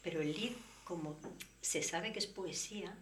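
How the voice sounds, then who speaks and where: Woman, singer, interview guest, lowish voice, thoughtful and unhurried, faint background noise. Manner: explains at length, reflective. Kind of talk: relaxed conversation.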